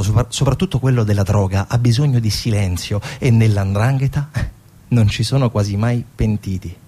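A man's voice: medium pitch, a little mellow. A man narrating a theatrical documentary monologue in an explanatory way, posing rhetorical questions. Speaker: stage actor-narrator.

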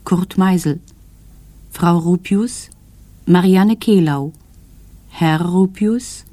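A woman reading credits as a formal, measured 1950s radio announcer. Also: lowish voice, mellow, no room sound.

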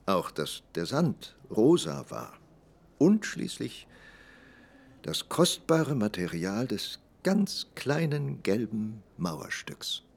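A man narrating a literary radio drama in a measured, deliberate storytelling manner. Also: mid-range voice, sing-song, vocal fry, slightly rough.

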